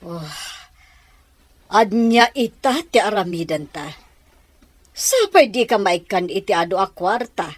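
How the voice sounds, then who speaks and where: A woman's voice: medium pitch, big pitch swings, measured pace, long pauses, no echo. A woman with a Filipino accent acting a scheming, money-minded middle-aged mother in a radio drama.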